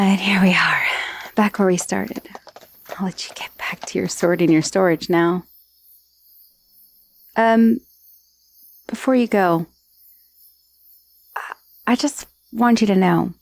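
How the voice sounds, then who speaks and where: Woman acting a slow-talking, friendly undead dullahan rider. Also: medium pitch, vocal fry. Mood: warm, grateful. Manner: casual, hesitant asides with sighs and murmurs. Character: chatty dullahan, a friendly magical severed head, centuries-old rider. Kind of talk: ASMR roleplay acting, addressing the listener.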